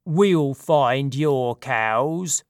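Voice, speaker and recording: Dim fat satyr boss, loud and slow, drawls his lines, reader putting him on. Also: mid-range voice, expressive, no echo, clean studio sound. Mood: excited. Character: fat, dim-witted male satyr leader.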